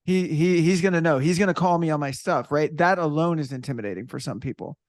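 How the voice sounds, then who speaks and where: Male podcast host, casual and reflective, slightly high voice, dry close recording. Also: fast, no echo. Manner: casual, reflective, thinks aloud with fillers. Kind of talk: podcast interview.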